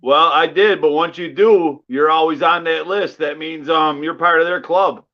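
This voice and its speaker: A man's voice: fairly high pitch, normal speed. A man on a livestream panel, hesitant in casual chat and filling gaps with ums. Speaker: panel guest. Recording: dull lo-fi stream audio.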